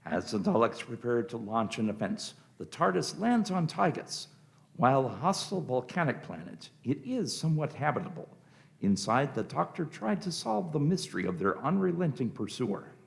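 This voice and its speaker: Man, radio play narrator, scripted delivery, medium pitch, gravelly creak, lively intonation. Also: moderate pace.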